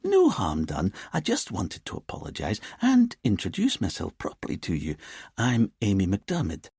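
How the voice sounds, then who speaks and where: A man's voice: fairly low pitch, huge pitch swings, normal speed, darkish tone, slightly rough, no room sound, studio quality, exaggerated voice. A man voicing a playful, self-assured woman in her forties with a lilting Edinburgh accent, for an audiobook. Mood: smoothing over.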